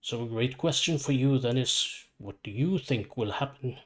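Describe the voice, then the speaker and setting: A man voicing a calm, weary, ancient supervillain who guides the dead, speaking in a measured, formal way. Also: medium pitch, lightly acted. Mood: gently explaining. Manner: measured, formal, matter-of-fact.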